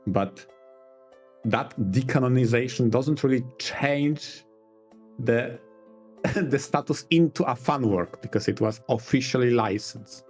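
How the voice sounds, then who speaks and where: A man co-hosting a podcast, reasoning through points casually in deliberate, halting phrases. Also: medium pitch, a little mellow, soft background music. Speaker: podcast co-host. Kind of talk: casual analytical podcast discussion.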